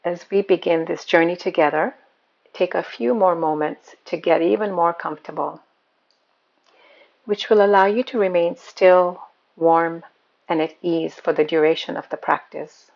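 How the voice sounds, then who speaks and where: A woman guiding a meditation as a yoga nidra teacher, speaking in a calm, soothing, unhurried manner. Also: lowish voice, creaky, highs cut off. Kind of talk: guided meditation.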